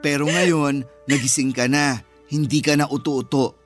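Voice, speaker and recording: A woman's voice: deep voice, steady tone, measured pace, soft background music. A woman with a Filipino accent playing a sassy, blunt, loyal cousin in a radio drama, speaking with slangy emphasis. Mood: indignant, supportive.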